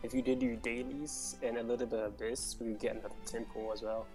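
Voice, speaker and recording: A woman's voice: very deep voice, level tone, quick pace, a little mellow. A woman commenting casually on a game as she streams it. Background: game music.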